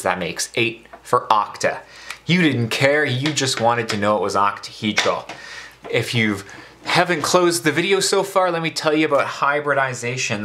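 A man's voice: mid-range voice, sharp tone, a little hiss or hum. A man tutoring chemistry in a casual, informal way, talking through a worked problem with playful cockiness.

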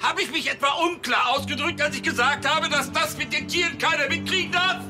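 An actor playing a bossy, indignant police chief in a crime comedy, fussy and exasperated. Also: male voice, very high voice, a little fast. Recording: music behind the voice.

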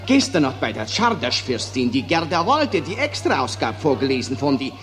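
An actor playing a chatty, enthusiastic theatregoer with a Viennese accent in an old radio play. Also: male voice, medium pitch, sing-song, normal speed, a bit bright, faint music behind. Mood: chatty, excited. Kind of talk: 1940s to 1960s German-language radio play acting.

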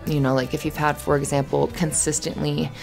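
A woman playing a flustered, friendly young café barista in an ASMR roleplay, chatty and halting in a natural conversational way. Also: low voice, little pitch movement, vocal fry, a little mellow, faint music behind. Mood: reflective, chatty. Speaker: voice actor in audio roleplay.

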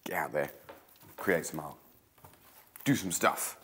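Deep-voiced man, croaky from a cold. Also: takes it slowly, pushed and bright, a little hiss or hum. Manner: chatty, hands busy weaving. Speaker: craft tutorial host. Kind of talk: casual craft tutorial. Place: old stone-walled room indoors.

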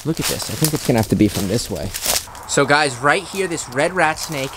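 A young man filming an excited outdoor wildlife vlog by old railway tracks, talking straight to viewers. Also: on the high side, fairly quick, background noise. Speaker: wildlife vlogger.

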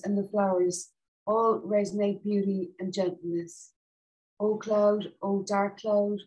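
A woman reading her own poem on a video call in a plain, halting way. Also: mid-range voice, darkish tone, rough recording. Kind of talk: poetry reading.

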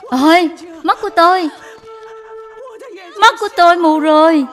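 A woman playing a proud, stubborn old mother-in-law in a cartoon dub, speaking in a sharp, reproachful way. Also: very high voice, a little slow, faint music behind. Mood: panicked wailing. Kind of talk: dubbed cartoon drama acting.

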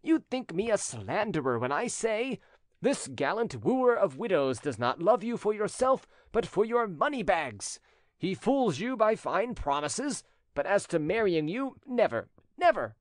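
A male narrator plays a spurned, jealous cousin from a storybook. The voice is raised high and swings widely, insistent and theatrical, in a very dry recording. He sounds pointed and scheming. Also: very animated, a little slow, no echo. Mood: insistent, bitter.

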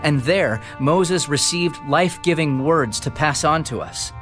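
Impassioned, high-pitched preacher over music. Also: male voice, expressive, unhurried, fairly bright tone. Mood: solemn, earnest. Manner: solemn, declamatory storytelling. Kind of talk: dramatized scripture reading, oratorical. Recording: studio reading over prominent background music.

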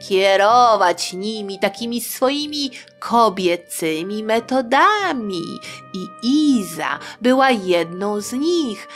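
Female history storyteller for young listeners: chatty, playful, unhurried, mid-pitched, soft music bed. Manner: playful, colloquial, expressive emphasis. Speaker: storyteller, host of a history show. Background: faint music.

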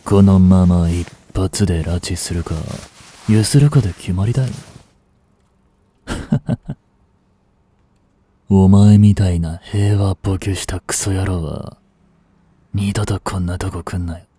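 A man acting as a cynical, aloof young street delinquent in a voice drama, with rough, casual, lazily teasing speech, close to the mic. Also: low-pitched, slow pace, pauses often, dark tone, full character voice. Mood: mocking, threatening. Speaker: voice actor. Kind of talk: Japanese voice drama aimed at women, one-sided dialogue. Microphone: close studio mic. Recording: dry close-miked studio recording.

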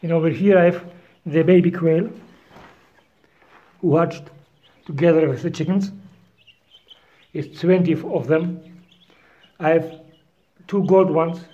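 A man in his thirties gives an unhurried walk-and-talk tour of his farm, filming himself on a handheld phone. He talks in plain, non-native phrasing and chuckles often. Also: a little high, fairly even intonation, no room sound, dull audio. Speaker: farmer vlogger. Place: outdoors on a hillside farm and inside a bird shed. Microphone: phone camera at arm's length.

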